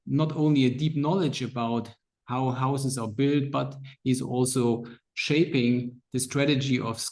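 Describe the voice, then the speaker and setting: A man hosts a business podcast and welcomes his guest eagerly, often repeating words for emphasis. His voice sits at an ordinary middle pitch. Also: darkish tone. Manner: enthusiastic, repeats "really". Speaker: podcast host. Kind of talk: podcast interview hosting.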